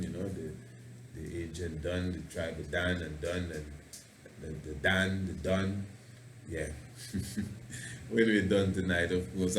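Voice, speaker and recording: A passionate man hosts a radio talk show as a preacher, rambling and playing with words. His voice sits a little low and carries a roomy echo. A faint noise runs under his speech. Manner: passionate, rambling, fond of wordplay. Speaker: radio show host and priest.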